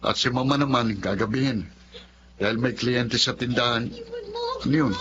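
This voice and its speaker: A man's voice: mid-range voice, moderate pace, crowd noise, natural voice. A man acting a young son, respectful to elders and protective of his sister, in a radio drama. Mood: polite. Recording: dull lo-fi audio under music.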